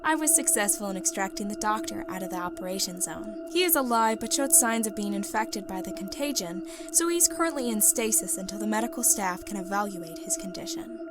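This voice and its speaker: A woman playing a composed, ruthless female secret agent, giving a formal, measured science-fiction mission report. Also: medium pitch, expressive, natural voice. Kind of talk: scripted dramatic reading, mission debrief. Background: background music.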